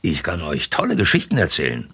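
An actor playing a jovial, boastful, wealthy yacht host in a German audio drama, with smug, flamboyant patter. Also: male voice, very deep voice, wildly swooping pitch, brisk, sharp tone, breathy edge, exaggerated voice. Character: jovial, boastful rich male yacht host and diamond magnate.

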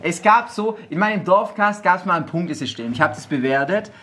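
A man's voice: high voice, lively intonation, a little fast, bright, forceful tone. A man from southwest Germany hosting a podcast, talking loosely in slang and laughing often. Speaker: podcast host. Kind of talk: casual chat. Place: house in a village.

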